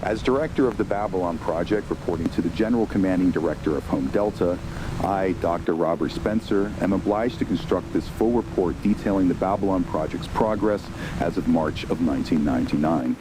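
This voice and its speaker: An actor playing a formal scientist director giving a measured official report in an analog horror series. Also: male voice, close to an everyday voice. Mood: grave, official. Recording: noisy recording with rumbling ambience.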